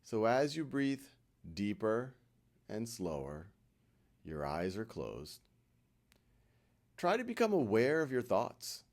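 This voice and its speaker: Male meditation guide, lower register with very varied intonation, gentle and reassuring, pausing often between phrases. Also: huge pitch swings. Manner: gentle, reassuring, with frequent pauses. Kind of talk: guided meditation, unhurried spoken instruction.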